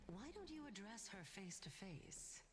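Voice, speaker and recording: A woman's voice: medium pitch, sing-song, measured pace, slight background noise. An actress playing a teasing, aloof female entity in a video game, wry and mocking. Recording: long hall-like reverb.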